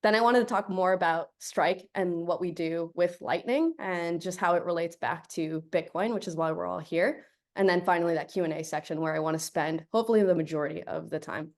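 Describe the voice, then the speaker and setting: A woman's voice: on the low side, brisk, few pauses. A young woman from Colombia, a native Spanish speaker, giving a chatty, upbeat guest talk over a video call and laughing often.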